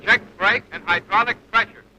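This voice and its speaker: Clipped flight instructor, 1940s training film, real sharp high voice, bit of plane noise. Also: male voice, moderate pace, poor audio. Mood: brisk, firm. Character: seasoned, exacting male bomber flight instructor. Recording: old 1940s film soundtrack, engine noise.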